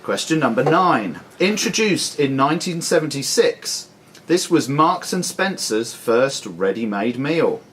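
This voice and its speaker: A man reading questions and giving rulings as quizmaster, deliberate and formal. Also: medium pitch, very animated, fairly bright tone. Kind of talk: reading quiz questions at a live club quiz. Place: sports and social club room. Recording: clearly noisy live club recording.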